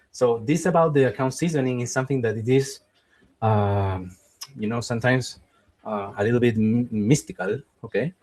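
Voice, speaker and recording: Guy answering webinar questions, careful, hedgy, says "okay?" a lot, mid pitch. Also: darkish tone. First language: Spanish. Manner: explanatory, keeps checking in with "okay?"; cautious, qualifies advice with "in my opinion". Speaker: visa webinar host. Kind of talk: live webinar Q&A.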